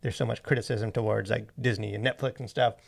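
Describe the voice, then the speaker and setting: A man hosting a casual podcast discussion, talking in a halting, rambling way full of fillers. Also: fairly low pitch, steady tone, creaky. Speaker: podcast host.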